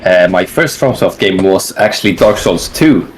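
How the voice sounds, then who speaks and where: Low-voiced relaxed gamer guest. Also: male voice, moderate pace, background noise. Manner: relaxed, rambling, lots of fillers and likes. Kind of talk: casual online interview.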